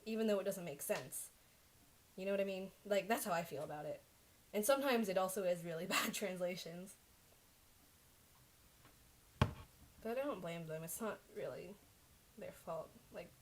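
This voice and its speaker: A woman's voice: medium pitch, moderate pace, pauses often, a little hiss or hum. Young woman muttering through study notes. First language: Chinese. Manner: mutters to herself while checking answers, self-correcting. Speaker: livestreamer studying. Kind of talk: casual study-with-me livestream. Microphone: desk microphone.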